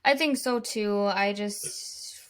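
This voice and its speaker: A woman in her thirties co-hosting a casual podcast chat, relaxed and rambling as she talks. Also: medium pitch, slow pace, slight background noise. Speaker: podcast co-host.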